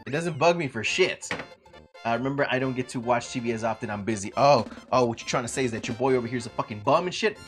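A man's voice: medium pitch, quick pace, over loud music. A young man live-streaming a video game from home, chatting loosely and cursing as he talks to his chat. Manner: loose, profane, talks to chat, jokes around. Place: home room, seen on webcam.

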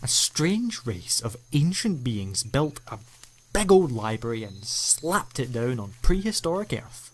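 A man's voice: mid-range voice, big pitch swings, a little slow, slightly rough. An actor playing an eccentric, ancient male alien time traveller, enthusiastic and playfully self-mocking, in a fan audio drama. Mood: explaining.

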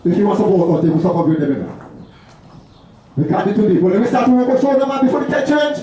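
A man's voice: sits high, quick pace, a crowd in the background. A man with a Vincentian Caribbean accent performing live dramatized banter and chanteys, calling out in repeated phrases. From St. Vincent and the Grenadines. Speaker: performer in a whaling chantey group. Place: open-sided festival tent stage. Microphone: distant audience camera. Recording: echoey, noisy festival tent, far off.

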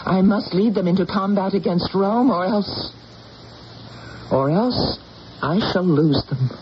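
Actress as a husky, proud warrior queen. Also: medium pitch, slight background noise, close to an everyday voice. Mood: restless, resolute. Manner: deliberate, dramatic, commanding. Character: proud, grave warrior queen of ancient Britons. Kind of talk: 1980s radio drama acting, first-person narration. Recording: dull radio recording, music behind.

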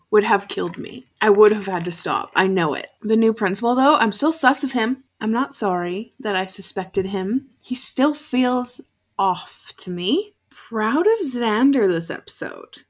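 A young adult woman giving casual, candid reaction commentary, blunt and sweary, recorded in a room at home. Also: mid-range voice. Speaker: reaction video host.

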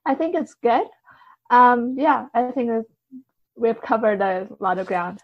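A woman's voice: a little high, expressive, measured pace, no room sound. Thoughtful woman guest, slightly dull dry recording. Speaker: podcast guest, translator. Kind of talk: conversational interview answers.

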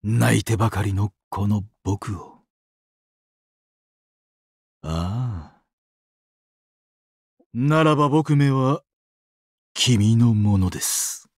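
An actor playing a sadistic, weeping angel of doomsday in a mobile game, exaggeratedly polite and dramatic, swinging between sorrow and glee. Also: male voice, on the low side, extremely slow, pauses often, slightly rough, dry recording, studio quality, heavily acted. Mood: tender, tearful. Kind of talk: Japanese mobile game character acting.

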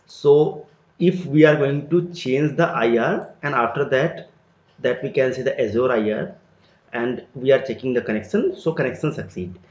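A man teaching a screen-recorded software tutorial, explaining each step as he clicks through an interface. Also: medium pitch, normal speed. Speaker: tutorial instructor. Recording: roomy, dull lo-fi sound.

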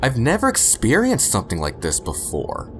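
A man's voice: medium pitch, wildly swooping pitch, normal speed, faint music behind. A man playing a brash, uneasy train engineer in an audio story, talking casually and bluntly. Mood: uneasy, irritated. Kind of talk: amateur audio drama acting. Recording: faint background noise.

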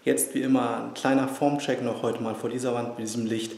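Chatty trainer in an echoey gym. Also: male voice, mid-range voice, brisk, gravelly. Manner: relaxed, chatty, addressing viewers as friends. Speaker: personal trainer and fitness vlogger. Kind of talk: casual vlog tour and update. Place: small private gym, indoors. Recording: echoey room with faint background hum.

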